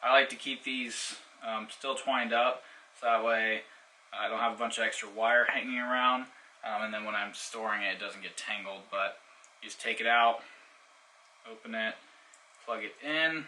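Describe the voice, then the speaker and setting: A man with a very bright, cutting voice on the lower side walks through a product in a casual, hands-on demonstration. He explains things informally, step by step. Some background noise sits behind him. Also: fairly even intonation, normal speed, poor audio. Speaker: product reviewer.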